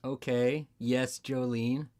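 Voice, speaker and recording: Male contestant, medium pitch, casual conversational banter in a quiz game. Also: little pitch movement, slow, mellow.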